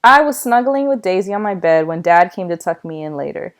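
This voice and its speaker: A woman reading a children's novel aloud in a storytelling style, with expressive delivery. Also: lowish voice, fairly quick. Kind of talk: read-aloud storytelling with acted dialogue.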